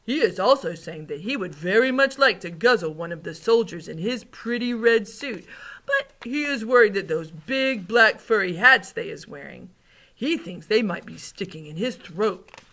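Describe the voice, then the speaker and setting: A woman's voice: medium pitch, expressive, normal speed, a bit bright, voice pushed up. A woman voicing a big, friendly but stubborn and gloomy male giant from a storybook, talking in mangled folksy grammar with invented words. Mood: matter-of-fact. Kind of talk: storybook character read aloud.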